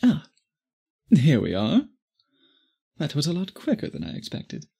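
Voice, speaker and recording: A man playing an obsessive, tender vampire suitor in an intimate ASMR roleplay, speaking in formal, gently doting phrases. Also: on the high side, huge pitch swings, a little slow, vocal fry, breathy edge, heavily acted. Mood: doting, courtly.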